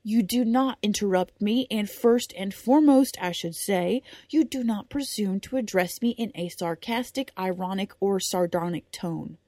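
A woman's voice: medium pitch, drawn-out words, hardly any pauses, no room sound. A woman voicing a hulking male knight who trains young pages, barking commands with a stern edge. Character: burly gruff male knight drillmaster, stern.

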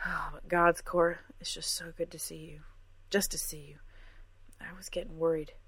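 A woman reading aloud, voicing a small, fretful older woman scholar who talks briskly. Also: deep voice, little pitch movement, a bit bright, husky, no room sound. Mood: relieved.

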